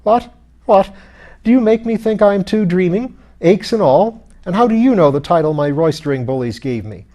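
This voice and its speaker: A man voicing a pompous, irritable, hard-drinking skeleton lord in an audiobook, rough and blustering in old-fashioned aristocratic speech. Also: sits high, lively intonation, normal speed, warm tone, pitched above the performer's own voice. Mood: blustering, confused. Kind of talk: audiobook character voice.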